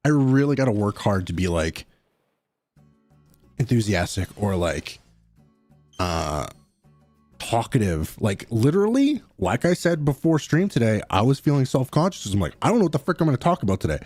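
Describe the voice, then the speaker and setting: A young man streaming a game and chatting casually with his viewers in a low-key, slightly mumbly way. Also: very animated, moderate pace, darkish tone, dry recording, faint music behind. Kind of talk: casual live-stream chat.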